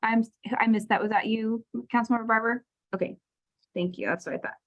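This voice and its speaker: A woman's voice: on the high side, steady tone, a little fast, highs cut off. A woman, the mayor, chairs a city council meeting in an orderly, formal way, reading agenda items and calling on members.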